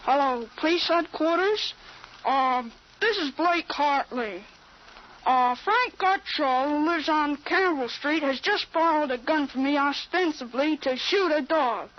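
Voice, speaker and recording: A careful boy trying to sound adult, high in pitch and very deliberate. The phrasing is formal and measured, in old radio drama style on a dull recording. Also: expressive. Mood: grave, urgent. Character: boy disguising voice as grown man, careful, formal caller.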